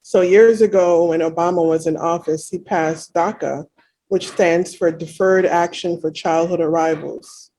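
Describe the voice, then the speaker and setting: Reflective woman guest, spiritual, unhurried. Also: on the low side, fairly even intonation, mellow, poor audio. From Jamaica. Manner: reflective, many "you know" fillers. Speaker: interview guest. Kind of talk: casual interview chat.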